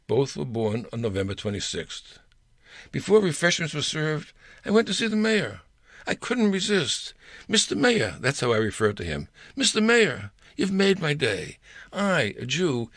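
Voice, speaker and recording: A man's voice: a little high, a bit bright, no echo, studio quality. A man reading aloud his own first-person anecdote as a storyteller.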